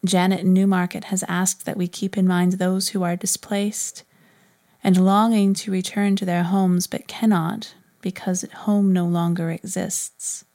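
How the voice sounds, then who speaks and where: A woman's voice: lowish voice, level tone, unhurried, darkish tone. A woman, reading as a podcast host in a scripted style. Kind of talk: scripted narration, reading prayer requests.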